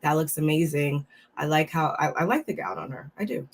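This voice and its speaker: Fields: Sex: woman. Role: recap co-host. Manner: relaxed, halting. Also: low-pitched, gravelly. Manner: relaxed, hesitant, many "um"s and "like"s. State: a little under the weather. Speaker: co-host of a reality TV recap show. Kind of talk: casual chat reviewing looks.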